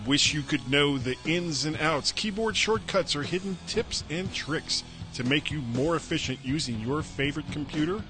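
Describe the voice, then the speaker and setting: A voice reading a scripted advert as an upbeat sales pitch with swooping intonation. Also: animated, moderate pace, hardly any pauses, music in the background.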